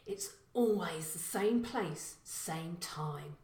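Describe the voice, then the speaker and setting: A woman's voice: lowish voice, lively intonation, extremely slow, a little mellow. Woman voicing a blunt burglar dad in an echoey room. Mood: assured. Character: burly confident middle-aged male burglar father, brisk and contemptuous.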